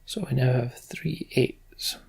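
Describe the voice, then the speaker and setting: A man's voice: medium pitch, takes it slowly, gravelly, darkish tone, slightly rough, in a whisper. A man giving casual game commentary and reading story text aloud as a let's play host.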